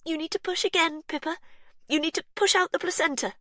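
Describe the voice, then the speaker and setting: A woman's voice: mid-range voice, expressive, moderate pace, no echo, voice pushed up, lightly acted. A woman voicing a blunt young woman giving urgent, clipped orders. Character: tense young woman, blunt and trying to stay calm.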